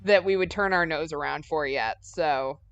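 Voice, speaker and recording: A woman's voice: low voice, normal speed, dry recording, muffled recording. Easygoing woman recapping a game. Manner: easygoing, wry, recaps the plot. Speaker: let's play co-host. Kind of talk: casual gaming chat and story recap.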